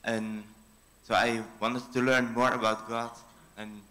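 A young man with a Dutch accent, giving a hesitant personal testimony with many pauses on a handheld mic in a church hall. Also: mid-range voice, level tone, pushed and bright. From Netherlands. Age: young adult. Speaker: mission team member. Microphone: handheld microphone.

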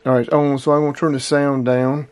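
A man's voice: mid-range voice, level tone, fast, a loud crowd. A man in his fifties, talking casually and a bit ramblingly through a gear demo in a small indoor room, recorded on a close camera mic. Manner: plainspoken, rambling, repeats numbers. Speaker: outdoors and radio hobby vlogger.

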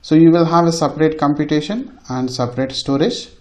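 A man's voice: a little high, fairly quick, a little mellow. A man giving an informal technical lecture as a tutorial instructor, often repeating his phrases for emphasis. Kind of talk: informal technical lecture over a screen demonstration.

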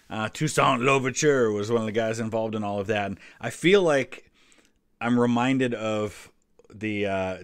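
A low-pitched American man talks casually, as a host reacting to something he knows well. He explains as he goes and drops in offhand asides, in a close, dry recording. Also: no room sound. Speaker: history channel host reacting to a quiz video.

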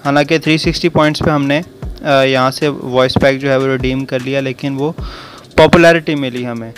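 A man's voice: medium pitch, steady tone, moderate pace. A man giving a casual, conversational explainer for a mobile game video, speaking directly to his viewers. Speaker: gaming video creator. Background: music.

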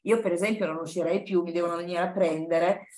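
An older woman shares her experience informally and with enthusiasm. Her voice is on the low side, and she speaks close to the microphone in a classroom. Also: steady tone, fast, darkish tone. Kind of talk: informal personal account in a seminar. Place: classroom, indoors.

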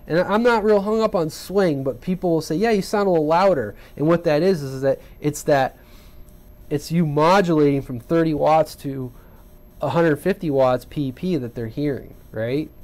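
A man's voice: on the high side, moderate pace, darkish tone. A man giving an unscripted, casual hobby radio tutorial in a plain-spoken, colloquial way, often checking in with the listener. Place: indoors at a home workbench.

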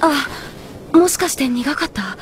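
An actress playing a caring young boy in an audio drama, speaking softly and reassuringly. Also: sits high, lively intonation, measured pace, a little hiss or hum. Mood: cheerful, caring. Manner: soft, tender, reassuring. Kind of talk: anime-style audio drama acting.

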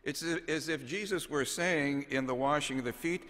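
A man in his sixties, a bishop delivering a homily from notes in a measured, solemn, deliberate way with pauses, speaking into a pulpit microphone in a large cathedral. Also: a little high, fairly bright tone. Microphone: gooseneck pulpit microphone. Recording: long cathedral reverb.

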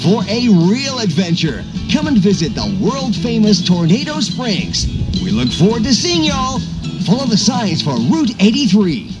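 An actor playing an upbeat, folksy countryside announcer in a retro holiday radio commercial, selling with enthusiasm. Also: male voice, high-pitched, wildly swooping pitch, measured pace, exaggerated voice. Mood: upbeat inviting. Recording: loud music behind the voice.

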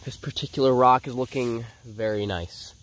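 A man's voice: mid-range voice, moderate pace. A young man with a congested, stuffy voice, chatting casually as a vlogger while searching outdoors in the woods, recorded on a head-mounted action camera. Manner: chatty, excited, self-narrating while searching.

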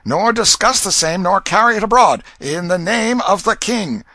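A man's voice: sits high, animated, normal speed, bright, forceful tone, no room sound, pitched above the performer's own voice, heavily acted. A man voicing an imperious royal herald in an audiobook, formal and declamatory, proclaiming an order. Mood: stern command. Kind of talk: audiobook character voice.

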